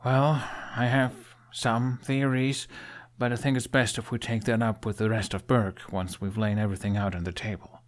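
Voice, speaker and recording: A man's voice: mid-range voice, little pitch movement, moderate pace, close to an everyday voice. Man voicing a boyish, confident young dragon rider. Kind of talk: audiobook character dialogue.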